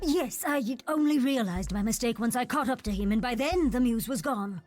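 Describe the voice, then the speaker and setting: A woman's voice: a little high, lively intonation, normal speed, a little mellow, faint music behind, lightly acted. An actress playing a cursed, blood-hungry female monster spy who speaks in formal, archaic, pleading phrases in a video game. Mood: anxious. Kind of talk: theatrical video game voice acting.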